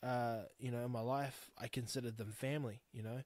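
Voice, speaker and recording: A man's voice: medium pitch, fairly even intonation, a little fast, a little mellow, no echo. Blunt, swearing New Zealand man in his thirties. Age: 30s. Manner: blunt, swears freely, rambling and heartfelt. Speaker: podcast host. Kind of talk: candid solo podcast monologue.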